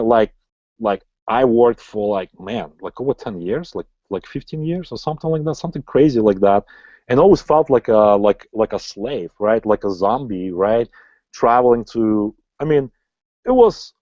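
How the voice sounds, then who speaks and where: A man's voice: on the low side, warm tone, no echo. A man in his forties who is not a native English speaker, giving a motivational sales webinar as an online marketing coach and often ending lines with "right?". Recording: slightly dull lo-fi audio.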